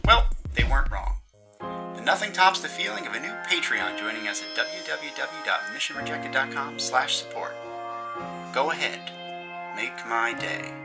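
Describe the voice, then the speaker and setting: An announcer delivers scripted end credits with tongue-in-cheek asides. Music plays clearly behind the medium-pitched voice. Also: animated, normal speed.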